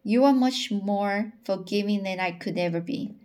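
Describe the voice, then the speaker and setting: A woman reading the part of an outspoken, indignant young woman in a storybook, with halting delivery. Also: medium pitch, darkish tone, lightly acted. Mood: indignant, cross.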